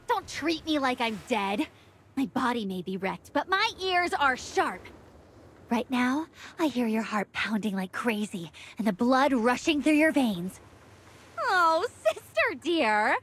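A woman's voice: high-pitched, fairly bright tone, husky, heavily acted. An actress playing a deranged, vengeful young woman in a video game, with a theatrical, mocking, sing-song menace. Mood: menacing taunting. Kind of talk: video game character acting.

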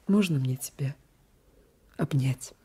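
An actress playing a defiant, lovestruck young peasant wife in a radio play, breathy and fervent, often dropping to a whisper. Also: female voice, rumbling low, slow pace, warm tone, exaggerated voice. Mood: tender, fervent. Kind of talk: 1980s radio play acting.

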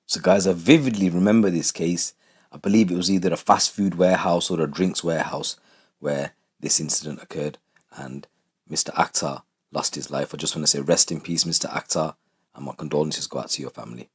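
A man with a husky voice reading scripted true crime narration. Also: extremely low pitch, fairly even intonation, fairly quick.

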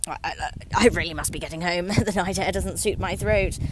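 A woman's voice: on the low side, a little fast, background noise, close to an everyday voice. A woman voicing a fussy old magpie who stammers and hurries her words. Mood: flustered.

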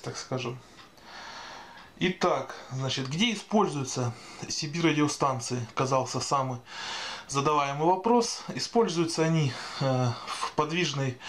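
A man giving an informal explainer voice-over as a video blogger, speaking in a halting, hesitant way. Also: fairly high pitch, slight background noise. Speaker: video blogger answering viewer questions.

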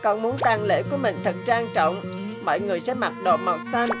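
A woman's voice: mid-range voice, a little fast, natural voice. A woman voicing a sweet, brave, sick little boy in a cartoon drama, childlike, earnest and polite. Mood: wistful, eager. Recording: music bed behind the voice. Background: music.

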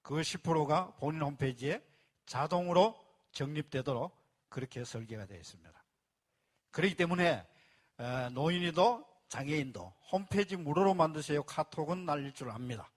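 A man's voice: a little high, animated, moderate pace. A man presenting a membership plan to a live audience, explaining step by step and repeating key phrases. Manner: speaks to a live audience and asks for applause.

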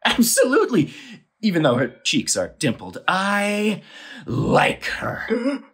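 A blustering, lovestruck landowner in a theatrical comedy. His husky voice leaps from low murmurs to high bellows, with words drawn out for bombast. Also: huge pitch swings, takes it slowly, a bit bright, full character voice. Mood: excited admiration. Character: blustering, hot-headed, lovestruck male landowner. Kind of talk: theatrical audio play comedy.

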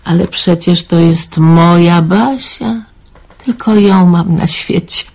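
A man's voice: high-pitched, slow pace, gravelly, darkish tone, exaggerated voice. A man voicing a worried elderly mother in an amateur radio play, sighing and fretful.

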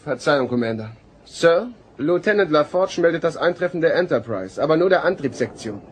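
An actor playing a deferential junior lieutenant making reports in a German audio drama. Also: male voice, a little high, expressive, a little fast, slight background noise, natural voice. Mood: dutiful.

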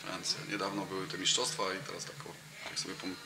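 A man's voice: low voice, little pitch movement, fast. A man in his thirties, a football coach as interview guest, talking casually with many fillers and restarts, recorded on a table mic in a cafe room. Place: cafe room with brick wall and sofa. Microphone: table mic in front of him. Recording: roomy, noisy room.

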